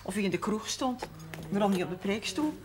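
An actress playing a blunt, worried, practical shopkeeper in a period television drama, curt and disapproving. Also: female voice, mid-range voice, expressive, brisk, close to an everyday voice. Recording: very noisy vintage TV soundtrack.

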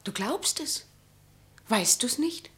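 An actress in a German radio drama playing an anxious young mistress, earnest and intense. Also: female voice, on the high side, animated, takes it slowly, fairly bright tone. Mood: troubled, intense.

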